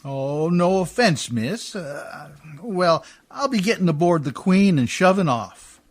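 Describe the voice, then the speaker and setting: Man playing a high-voiced, folksy riverboat pilot. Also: animated, slow, full character voice. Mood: casual, unconcerned. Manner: folksy, ungrammatical, chuckling. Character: uncouth, good-natured Canadian riverboat pilot, a man. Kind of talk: amateur radio play acting in old Hollywood style.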